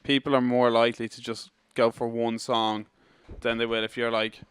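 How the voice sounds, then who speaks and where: Young man, Irish accent, smooth clear voice, fairly flat pitch, casual band interview, dry close sound. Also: normal speed, dry recording. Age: young adult. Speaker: band member being interviewed.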